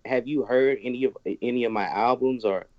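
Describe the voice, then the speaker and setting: A man's voice: mid-range voice, fairly quick, no room sound. Tipsy man rambling on a podcast. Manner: loose, rambling, stumbling over words. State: tipsy from drinking. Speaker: guest musician. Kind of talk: casual podcast chat. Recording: dry, dull lo-fi sound.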